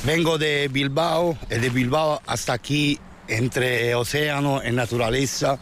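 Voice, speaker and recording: A man with an Italian accent speaks Spanish at a middle pitch. He is a pilgrim in a casual chat on an outdoor trail, and he pauses as he searches for words. A faint hiss of open air sits behind him. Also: steady tone, a bit bright. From Italy.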